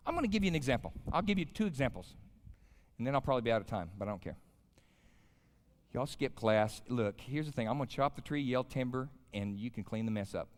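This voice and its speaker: American preacher in his fifties, animated delivery. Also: mid-range voice. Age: 50s. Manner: folksy, colloquial, addresses the audience with questions. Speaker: pastor, guest chapel speaker. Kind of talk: informal chapel sermon with storytelling. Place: large indoor chapel, speaking to an audience. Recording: live hall with long reverb.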